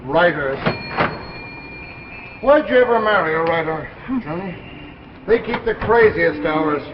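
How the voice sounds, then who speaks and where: An actor playing a friendly, wry American shopkeeper in 1950s drama style. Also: male voice, on the high side, expressive, a little slow. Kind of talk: 1950s television drama acting. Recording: noisy muffled vintage broadcast.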